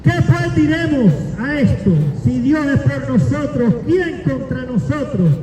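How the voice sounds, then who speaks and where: A man in his forties delivers a declamatory sermon, stacking up phrases and rising toward shouts. His voice sits very high for a man and his delivery is slow and deliberate. He is recorded from a distance in a roomy, echoing space with a long reverb and faint background noise. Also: lively intonation. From Cuba. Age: 40s. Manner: declamatory, builds up lists and rises to shouts. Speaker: preacher. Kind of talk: sermon with scripture reading and altar call. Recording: echoey, long reverb, from afar.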